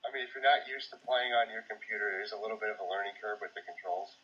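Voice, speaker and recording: A man with a very husky, lowish voice that has a sharp edge. He chats casually as co-host of a gaming podcast, and the recording is somewhat dull. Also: fast talker.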